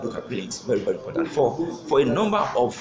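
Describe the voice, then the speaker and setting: A man's voice: medium pitch, sing-song, very fast, crowd noise. A man, giving an earnest, emphatic sales training talk from an office, repeating his key points. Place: office with wallpaper, seated in leather chair. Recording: roomy office with noise.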